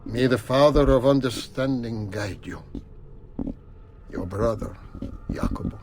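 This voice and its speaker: An actor voicing an anxious conspirator in a video game, reading in a formal, solemn and measured way. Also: male voice, mid-range voice, expressive, background noise. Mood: grave, worried.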